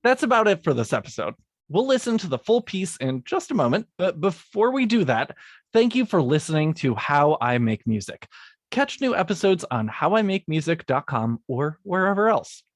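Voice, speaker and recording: Male guest, slightly high pitch, halting delivery, dry close sound. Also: sing-song, dry recording. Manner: hesitant, halting, calls himself mealy-mouthed. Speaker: guest composer. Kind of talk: casual chat and reading an outro.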